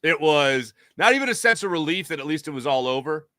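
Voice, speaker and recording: An American man with a bright, forward voice delivers a casual sports monologue as a show host. He sounds emphatic and conversational, like someone telling stories to regular listeners. The recording is dry and close, with very little room sound. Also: on the high side, animated, brisk, dry recording. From Philadelphia area, United States.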